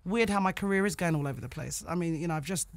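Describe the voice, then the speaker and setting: A woman's voice: on the low side, rapid-fire, vocal fry, no room sound. Frank, chatty woman singer. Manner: chatty, frank, laughs easily. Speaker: guest singer. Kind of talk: casual radio interview chat. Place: radio studio.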